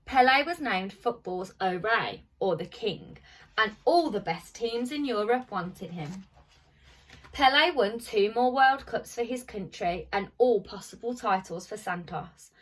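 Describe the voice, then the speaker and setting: Woman, primary teacher type for children's story time: slightly rough-edged voice, informal delivery, mic a bit distant. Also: mid-range voice, animated, measured pace, fairly bright tone. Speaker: primary school teacher reading to pupils. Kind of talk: reading a children's picture book aloud with informal asides.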